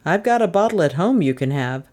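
A woman's voice: on the low side, lively intonation, brisk, warm tone. A woman voicing a terse, laconic man in a crime story, cool and unbothered. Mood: matter-of-fact. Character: terse, absent-minded man, coolly unbothered. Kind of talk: storybook character voice in crime fiction.

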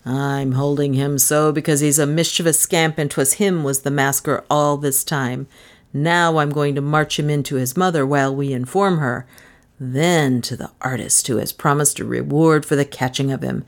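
A woman voicing a big, suspicious, self-satisfied policeman with a gruff, folksy way of talking in a storybook. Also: low-pitched, fairly even intonation, moderate pace, pitched below the performer's own voice. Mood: stern, smug. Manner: folksy, colloquial, gloating.

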